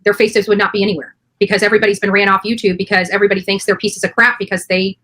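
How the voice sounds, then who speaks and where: Fast-talking, bright-voiced woman in her forties. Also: medium pitch, little pitch movement. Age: early 40s. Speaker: true crime YouTuber. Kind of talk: casual conversational discussion.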